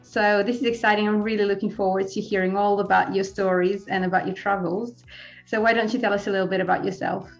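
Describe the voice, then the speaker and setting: A woman's voice: medium pitch, fairly even intonation, quick pace, lo-fi sound, faint music behind. A middle-aged woman born in Serbia, hosting a casual interview in a friendly way and laughing often. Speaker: host.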